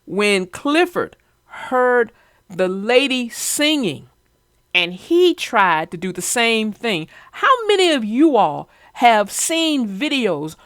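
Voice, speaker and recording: A woman's voice: on the high side, big pitch swings, takes it slowly, a bit bright, no room sound, studio quality. A woman hosting a children's read-aloud show, chatting about a story with young viewers.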